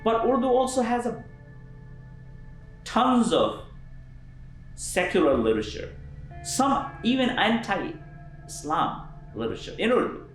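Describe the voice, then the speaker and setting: A man being interviewed as a linguist, explaining thoughtfully with frequent pauses and restarts and lively emphasis. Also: high voice, roomy sound, soft background music, rough recording.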